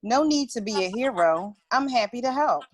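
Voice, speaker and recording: A woman's voice: fairly high pitch, animated, moderate pace. A woman playing an eager, polite office colleague who offers help, in a script table read.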